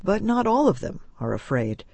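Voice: medium pitch, very animated, moderate pace, vocal fry, darkish tone, slightly rough, dry recording. A reporter reading a scripted news feature narration.

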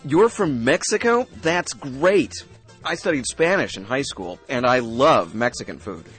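An eager young college student. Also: male voice, mid-range voice, very animated, measured pace, a bit bright, breathy edge, soft background music, exaggerated voice. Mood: friendly. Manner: friendly, eager questions. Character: friendly young male college student from a small town. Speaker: dialogue actor. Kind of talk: scripted radio lesson dialogue.

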